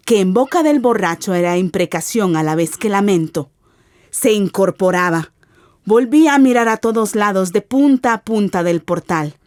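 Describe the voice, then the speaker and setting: A dry, close studio sound carries a woman's fairly low voice. She recites scripted literary narration for radio, deliberately and with dramatic weight. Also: darkish tone, dry recording.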